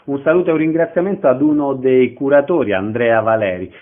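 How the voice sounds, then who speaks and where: A man's voice: medium pitch, brisk. A man hosting a radio interview. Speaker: radio host interviewing guests. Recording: narrow phone-like radio broadcast sound.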